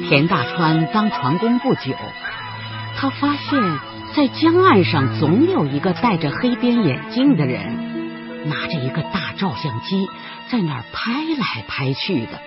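Radio drama narrator, unhurried delivery, hugely varied intonation, music bed. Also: medium pitch, very animated, dull audio.

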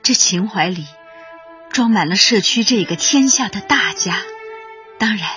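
A woman narrates a lyrical script with solemn admiration. Her pitch is medium. The recording is slightly dull, with music clearly playing behind her. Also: animated, unhurried, a bit bright.

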